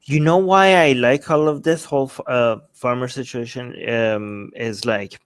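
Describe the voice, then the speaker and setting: A man's voice: medium pitch, measured pace, clear, resonant tone, no room sound. A man giving casual political commentary as a talk show host, speaking emphatically and often tagging points with rhetorical questions.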